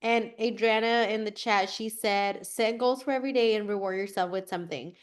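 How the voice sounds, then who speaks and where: A woman, a former classroom teacher, giving relaxed, chatty advice and often saying "like" in an online session. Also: mid-range voice, fairly quick, clear, resonant tone. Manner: relaxed, chatty, many "like" fillers. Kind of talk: casual webinar advice.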